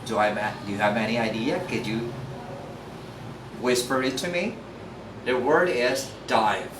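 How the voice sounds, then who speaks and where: A man teaching English pronunciation in a lively, joking classroom style, often asking listeners questions. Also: medium pitch. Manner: animated, jokey, asks listeners questions. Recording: echoey room, mic at a distance, background noise.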